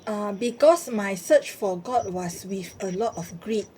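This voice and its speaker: A woman's voice: mid-range voice, a little slow, dark tone. A woman from Malaysia speaking as a student to her spiritual teacher, informal, hesitant and deferential. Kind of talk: informal questioning and reading aloud. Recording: faint background noise, clicks.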